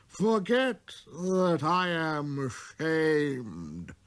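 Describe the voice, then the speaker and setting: A man voicing a mournful, ashamed drunkard in a storybook, with drawn-out, tearful speech. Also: fairly high pitch, huge pitch swings, fairly bright tone, voice pushed up, exaggerated voice.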